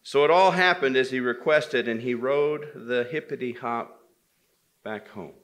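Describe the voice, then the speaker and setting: Guy telling an old folktale live, bright highish voice, does the characters' lines. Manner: acts out dialogue. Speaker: storyteller at a men's gathering.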